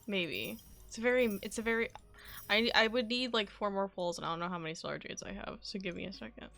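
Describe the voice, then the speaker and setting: Bright-voiced woman streaming, dramatically playful. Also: medium pitch, quick pace, gravelly, faint music behind. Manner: dramatic, playful pleading and exclaiming. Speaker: game streamer. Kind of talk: casual live stream reaction chat.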